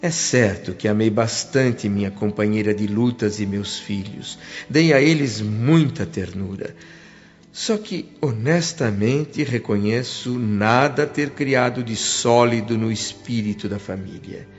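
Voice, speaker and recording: A man's voice: fairly low pitch, unhurried, in a large hall, lightly acted. A Brazilian man acting an earnest, remorseful spirit who tells his afterlife story in a reflective, literary way for a radio drama. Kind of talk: radio drama narration and dialogue. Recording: muffled audio with music behind. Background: background music.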